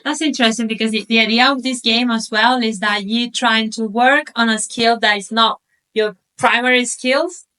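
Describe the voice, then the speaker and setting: A woman's voice: fairly high pitch, steady tone, moderate pace, pushed and bright, clean tone. A woman facilitating a workshop, explaining the group exercise in an encouraging way.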